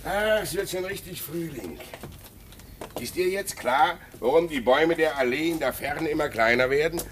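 An actor playing a kindly, patient, learned court official in a German radio drama, avuncular and formally eloquent. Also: male voice, fairly high pitch, moderate pace, fairly bright tone, lightly acted. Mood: amiable. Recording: noisy old radio drama recording.

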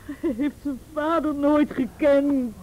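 An actress playing a distraught, pleading mother in a radio play, tearful and halting. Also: high-pitched, steady tone, a little mellow. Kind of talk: radio drama acting. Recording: noisy old radio play recording.

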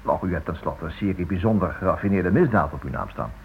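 A man's voice: low voice, lively intonation, quick pace. An actor playing an earnest writer in a Dutch radio play, hesitant and eager as he stammers through his sentences. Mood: probing, hesitant. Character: earnest, hesitant male writer researching a criminal. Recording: muffled, noisy old radio play recording.

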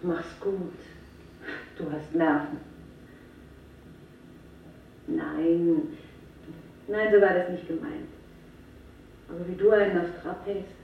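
A woman's voice: on the low side, steady tone, vocal fry. An actress playing a flustered, affectionate young woman on a phone call in a radio drama, heard muffled and from far off in an echoing space. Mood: flustered, fond. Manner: halting, slow, flustered. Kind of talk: German radio drama acting. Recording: muffled, distant, very echoey, faintly noisy.

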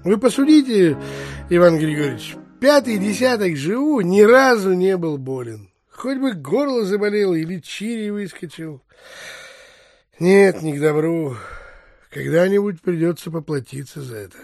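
An actor playing a blunt, grumbling middle-aged provincial landowner in an audio drama. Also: male voice, sits high, lively intonation, normal speed, darkish tone, no room sound, studio quality, soft background music. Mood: gloomy grumbling. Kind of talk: theatrical audio drama acting.

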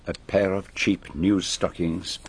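An actor playing a shrewd, grave foreign detective with a French accent and precise, formal phrasing in a radio drama. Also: male voice, deep voice, steady tone, slow, a little hiss or hum. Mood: brisk, assessing. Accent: French-speaking European.

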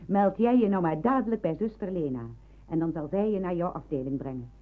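A woman acting a strict, brisk hospital matron in an old radio play, formal and emphatic. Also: fairly low pitch, close to an everyday voice. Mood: brisk, authoritative. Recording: narrow-band old radio recording.

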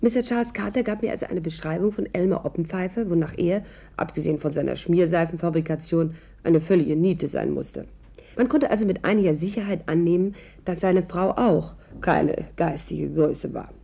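An actress in a 1950s German radio play playing a witty, self-possessed stage actress with a pert, dryly ironic manner. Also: female voice, on the low side, moderate pace, gravelly, mellow, slightly rough. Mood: wry narration.

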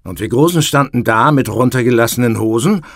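An actor playing a self-justifying ex-top manager in a radio play, speaking in a self-assured, deliberate way. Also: male voice, medium pitch, dry recording, clean studio sound, natural voice. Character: self-justifying male ex-top manager, bank board chairman. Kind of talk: radio play, interview-like statement.

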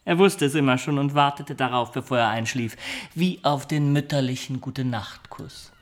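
An actor playing a wry, rough adventurer who tells his tale with dry teasing in a German radio drama. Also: mid-range voice, moderate pace, close to an everyday voice. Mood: wry storytelling.

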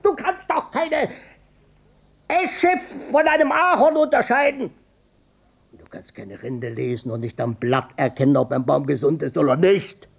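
Radio drama: short-tempered, evasive old father and ex-policeman, slow and husky. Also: on the high side, very animated, exaggerated voice. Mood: furious tirade. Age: older. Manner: blustering, repetitive, swinging from muttering to shouting. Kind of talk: German radio drama acting.

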